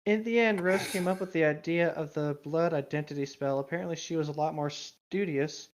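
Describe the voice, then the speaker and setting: A woman's voice: sits low, brisk, a little hiss or hum. A woman co-hosting a casual comedic fan fiction reading, teasing and swearing sarcastically.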